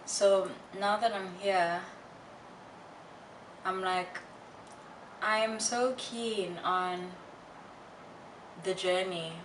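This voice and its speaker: Young female vlogger, unhurried and reflective, candid casual chat, slightly noisy and distant room recording. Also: medium pitch, fairly even intonation. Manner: candid, halting and reflective with slangy asides. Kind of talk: casual personal chat to viewers. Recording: roomy, distant mic, faint noise.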